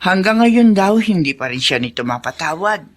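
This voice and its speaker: Gossipy old auntie type in a radio drama, earnest and frank, voice goes up and down a lot. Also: female voice, lowish voice, expressive, moderate pace. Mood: earnest, confiding. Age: older. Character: elderly gossipy but earnest family friend.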